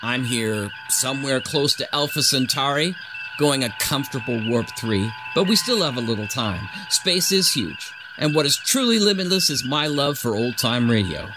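A man's voice: medium pitch, lively intonation, moderate pace. A man hosting a podcast with a scripted, playful introduction. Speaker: podcast host. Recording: clear background ambience noise.

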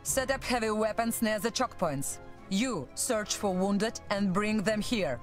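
A woman's voice: medium pitch, unhurried, soft background music, lightly acted. A woman playing a loyal, resolute military officer in a video game, speaking in an urgent, commanding, earnest way. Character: loyal, resolute female military officer and former security chief. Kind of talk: video game cutscene acting.

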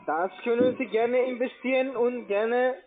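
A man's voice: very high voice, fairly even intonation, unhurried, soft background music. A man with a foreign accent in non-native German, making a formal, repetitive scripted sales call as a call center agent. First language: not German. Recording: tinny, band-limited phone line.

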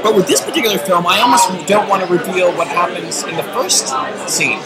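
A man's voice: sits high, brisk, over a noisy crowd. A young man co-hosting a casual review chat with dry, mock-serious asides, filmed up close at a restaurant table. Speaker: co-host. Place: busy restaurant bar at night. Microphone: camera held close at the table. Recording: very loud bar music behind.

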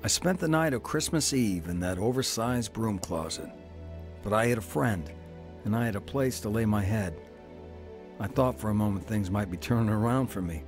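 A man's voice: lowish voice, expressive, moderate pace, over music. An actor playing a down-on-his-luck man who narrates his own story in a radio drama. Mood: reflective.

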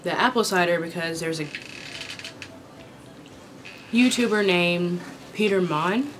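A young woman's raspy, slightly unwell voice, pitched a little low and unhurried. She chats loosely at a desk camera, rambling with plenty of ums, and a faint hiss sits behind her in a small room. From Kentucky. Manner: relaxed, rambling, many ums. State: unwell, sore throat. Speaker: vlogger. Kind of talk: casual mukbang chat. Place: small indoor room with dark curtain backdrop.

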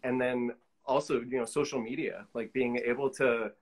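A man's voice: mid-range voice, fairly even intonation, normal speed, breathy edge. Conversational man chatting as interview guest. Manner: conversational, frequent "you know" asides. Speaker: interview guest, collage artist. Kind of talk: casual interview chat. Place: small indoor room with pinned pictures, video call.

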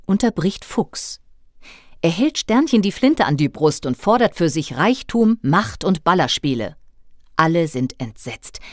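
Female narrator for children's audio drama: lowish, lively and dramatic, very dry studio sound. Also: no echo. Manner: dramatic, expressive storybook delivery. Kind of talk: scripted storytelling narration for a children's audio play.

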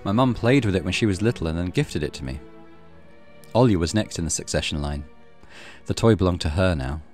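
A man reading a visual novel aloud as a let's play host, with expressive storytelling and casual commentary. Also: low-pitched, fairly quick. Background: soft game music.

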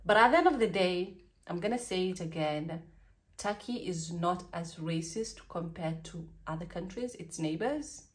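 A woman's voice: lowish voice, animated, normal speed. A young woman in her twenties with a Kenyan accent, chatting candidly and animatedly in a storytime vlog recorded in a small bedroom. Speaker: vlogger.

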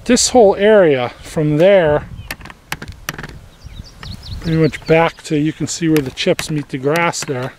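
A man's voice: on the high side, measured pace, long pauses, a little mellow, a little hiss or hum. A man giving an unscripted, plainspoken walk-through of his homestead garden, talking casually outdoors from behind a handheld camera. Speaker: homesteader hosting a garden tour vlog. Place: outdoors in a rural yard. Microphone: handheld camera's built-in microphone, speaker behind camera.

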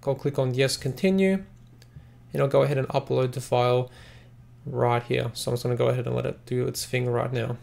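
A man hosting a casual software tutorial, explaining in a rambling, repetitive way. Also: medium pitch, creaky. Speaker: software reviewer, tutorial host. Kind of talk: casual screen-recording tutorial narration.

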